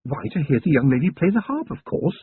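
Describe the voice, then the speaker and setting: A man's voice: a little high, very animated, fairly quick, slightly rough, dry recording, voice pushed up. Poised aristocratic wife, as a man puts her on. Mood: bossy. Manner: poised, gently bossy. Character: refined aristocratic wife, gently commanding woman. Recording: thin phone-like sound.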